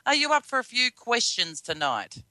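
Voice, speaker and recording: A woman's voice: fairly high pitch, big pitch swings, moderate pace, bright, forceful tone, no room sound. A woman with an Australian accent hosting a radio show, chatty and upbeat, with a slightly scratchy voice. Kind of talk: live radio hosting and interviewing.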